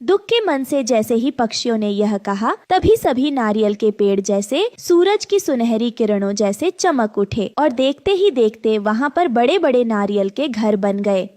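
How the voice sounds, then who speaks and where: Lady narrator for hindi cartoon tale, kinda high voice, scripted, dry studio sound. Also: sing-song, measured pace, few pauses, dark tone, no echo.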